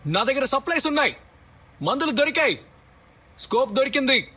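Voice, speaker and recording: A man voicing an urgent, excitable squadmate in a battle royale video game, giving clipped commands. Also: extremely high pitch, fairly quick, slightly rough, exaggerated voice. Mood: urgent alert. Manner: clipped, imperative callouts. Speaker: game character voice.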